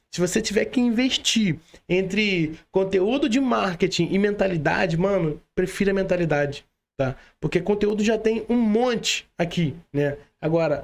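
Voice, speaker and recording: A man's voice: sits high, creaky. A Brazilian man hosting an online interview in informal, slangy speech, often adding little tag questions. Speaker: podcast host.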